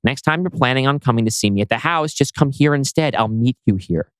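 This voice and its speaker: A man's voice: mid-range voice, animated, a little fast, clean tone, no echo, natural voice. A man voicing a weary, pushy but doting grandmother in her sixties in a script reading. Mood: frustrated. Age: 60s.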